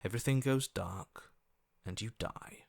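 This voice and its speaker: A man playing a grave, measured narrator of a dark fairy tale in a scripted horror game. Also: fairly low pitch, creaky, no room sound, studio quality.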